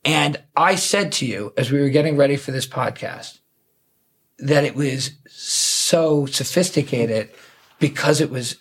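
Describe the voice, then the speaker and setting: Male podcast host, enthusiastic storyteller, husky tone. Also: medium pitch, fairly bright tone. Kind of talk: casual podcast chat.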